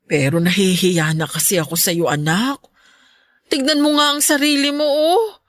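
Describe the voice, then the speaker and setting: A woman acting a caring, worried middle-aged mother in a Filipino radio drama, gentle and fretting. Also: medium pitch, steady tone, moderate pace, dry recording, natural voice. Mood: guilty, pleading.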